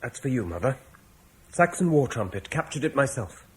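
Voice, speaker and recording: An actor playing a proud, boastful young Roman soldier son in a vintage radio drama. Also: male voice, medium pitch, sing-song, normal speed, a little hiss or hum, natural voice. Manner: boastful, eager.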